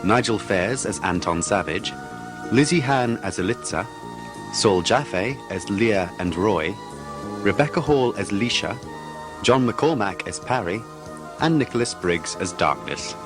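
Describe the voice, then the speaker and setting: Male host for an audio feature: animated intonation, medium pitch, moving between announcements and casual questions. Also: unhurried, over music. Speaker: interviewer and announcer. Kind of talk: casual interview and scripted credits.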